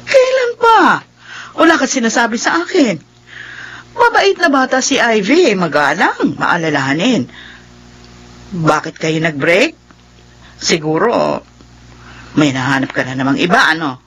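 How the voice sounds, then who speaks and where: Husky, doting, nagging mother. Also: lowish voice, big pitch swings, measured pace, pauses often, fairly bright tone, exaggerated voice. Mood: probing. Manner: fussing, chiding. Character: doting, nagging middle-aged mother, affectionate and probing. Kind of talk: Filipino radio drama acting.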